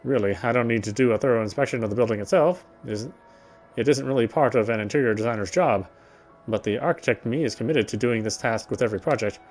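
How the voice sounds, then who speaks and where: A man reading visual novel narration aloud as he plays, often halting and correcting himself. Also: medium pitch, a little mellow, soft background music. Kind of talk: read-aloud game narration.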